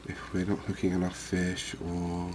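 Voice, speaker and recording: A man giving unhurried, murmured ASMR commentary as he hosts a fishing game series. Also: sits low, monotone, a little mellow, slight background noise. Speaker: host of a gaming series.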